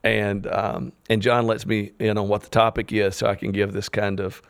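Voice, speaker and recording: A man's voice: on the low side, little pitch movement, normal speed, vocal fry, dry recording. A man in his thirties, co-hosting a podcast with rambling, wisecracking asides. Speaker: podcast co-host. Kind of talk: casual chat.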